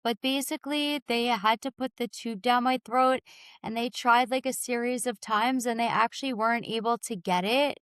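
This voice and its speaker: A woman with a raspy voice, telling her own story candidly and informally as a podcast guest. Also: a little high, moderate pace, a bit bright, dry recording. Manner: informal, peppered with "like" and "you know".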